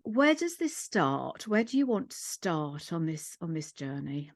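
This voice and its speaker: A middle-aged woman hosting a podcast interview in a measured, reflective way, feeling out her phrasing as she goes. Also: low voice, big pitch swings, dry recording. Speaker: podcast host and psychotherapist. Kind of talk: gentle interview.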